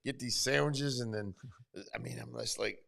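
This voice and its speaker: A man hosting a casual studio chat, telling stories with lively acted-out quotes. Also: mid-range voice, normal speed, creaky. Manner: animated storyteller, acts out quotes. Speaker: host.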